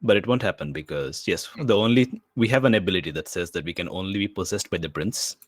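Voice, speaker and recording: A man's voice: low voice, fast, no room sound, studio quality. A man playing a tabletop game, casually improvising narration of what his character does.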